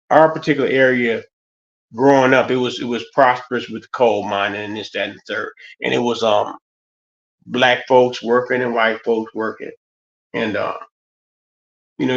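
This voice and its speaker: A man's voice: medium pitch, a bit bright. A man in his fifties hosting a stream, talking in a loose, rambling way with lots of fillers and restarts. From United States. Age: 50s. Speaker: stream host. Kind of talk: casual monologue. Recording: slightly dull lo-fi stream audio.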